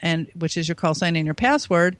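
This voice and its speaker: A woman with a high voice, a guest on a call-in radio show, explaining things in a chatty, step-by-step way. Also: expressive, brisk, no echo.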